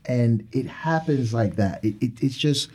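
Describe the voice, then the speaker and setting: A man's voice: medium pitch, a little slow, darkish tone, slightly rough. A man arguing his point emphatically in a panel discussion, repeating words and restarting sentences. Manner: emphatic, repetitive, restarts often. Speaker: panel guest. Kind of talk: animated discussion.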